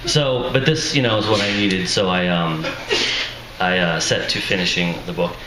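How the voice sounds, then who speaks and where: Worn-out deep male voice, joking at himself, roomy echo, noisy hall. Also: fairly even intonation, moderate pace. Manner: self-deprecating, chuckling asides. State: strained, tired voice. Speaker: author at a reading. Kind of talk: casual introduction to a reading. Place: live reading venue with audience. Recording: echoey room, noisy ambience.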